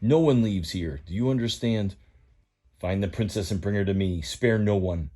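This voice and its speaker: A man's voice: lowish voice, normal speed, mellow, breathy edge, faint music behind. A man voicing a menacing, commanding villain tow truck in a read-aloud story.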